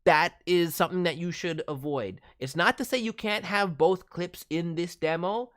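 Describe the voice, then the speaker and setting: A man's voice: a little high, normal speed, fairly bright tone. A man speaking as a voice director, giving casual, enthusiastic and encouraging critique. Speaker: voice director reviewing demo reels.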